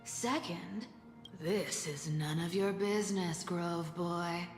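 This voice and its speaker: A woman's voice: lowish voice, takes it slowly. A woman voicing a playful, cunning catgirl trickster in a video game, sly and mocking in a theatrical way. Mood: mock-hurt, scolding. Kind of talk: dramatic video game voice acting. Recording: echoey hall reverb with music.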